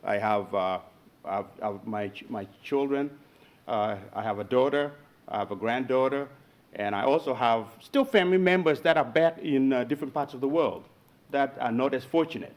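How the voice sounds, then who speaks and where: A middle-aged or older man with a Ugandan accent giving a halting personal testimonial at a public meeting. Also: mid-range voice. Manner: halting, repeats words. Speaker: Rotary club member. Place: town board meeting room.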